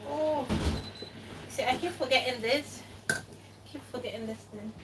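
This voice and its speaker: A woman from Ghana talks slowly through her cooking and thinks aloud as she goes. The camera sits a few steps away, so she sounds distant. Clear kitchen noise runs behind her voice. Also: on the high side. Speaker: cooking vlogger. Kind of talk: casual cooking commentary. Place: home kitchen.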